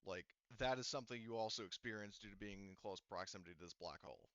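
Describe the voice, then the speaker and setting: Male game master, crisp and forward, casual halting delivery, dry close sound with slightly muffled fidelity. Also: lowish voice, fairly bright tone, no echo. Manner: halting, filler-heavy, rambling explanations. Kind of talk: casual narration of tabletop game.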